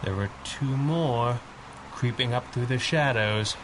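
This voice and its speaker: Confident masked mystery man, male actor, old-time radio serial; brisk, dry wit, noisy rumbling background. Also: natural voice. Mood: wry, brisk. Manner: brisk, deductive, dryly witty. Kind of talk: 1930s-style radio adventure acting.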